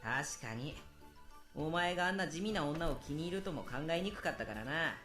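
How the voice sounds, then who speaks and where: Brash schoolboy for an anime-style game: cheeky, very animated, rapid, music underneath. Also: female voice, deep voice. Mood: intrigued. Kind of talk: Japanese visual novel voice acting.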